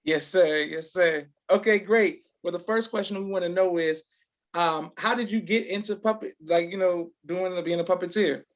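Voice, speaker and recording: A man hosting a live online interview in a polite, halting manner, repeating words. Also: high-pitched. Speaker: podcast host, interviewer. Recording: dull lo-fi online call sound.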